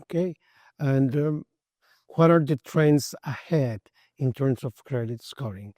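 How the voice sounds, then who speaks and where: A man's voice: mid-range voice, takes it slowly, warm tone, dry recording, clean studio sound. A man conducting an interview at a conference.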